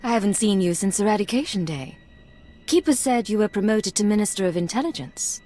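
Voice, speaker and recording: An actress playing a hardened, wary Imperial agent in a video game, controlled, direct and clipped. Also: female voice, mid-range voice, expressive, brisk, dark tone, soft background music, natural voice. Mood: guarded. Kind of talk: dramatic game dialogue.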